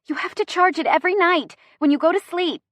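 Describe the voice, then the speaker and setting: A bossy, sharp-tongued woman in painful labor, as a female narrator puts her on for an audiobook. Her voice jumps high and strained, in clipped, indignant bursts. The sound is dry. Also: animated, moderate pace, dry recording. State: panting through pain.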